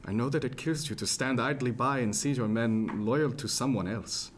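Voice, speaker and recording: An actor playing an anxious, frank ship's doctor of the 1710s in a radio drama, with formal old-fashioned phrasing and an earnest manner. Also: male voice, medium pitch, normal speed, close to an everyday voice. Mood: tender, earnest. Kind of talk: serial radio drama acting, period seafaring. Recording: music behind the voice, plucked guitar.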